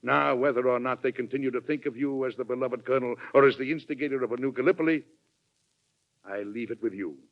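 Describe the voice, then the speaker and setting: An actor playing a firm, weary, principled American president in 1940s radio drama style, formal and deliberately persuasive. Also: male voice, medium pitch, lightly acted. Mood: grave, persuasive. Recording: muffled 1940s radio recording.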